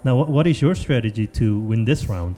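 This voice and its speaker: A man's voice: fairly low pitch, lively intonation, fairly quick, dark tone. A man commentating at a live event, interviewing competitors in a joking, teasing, chatty way with frequent restarts.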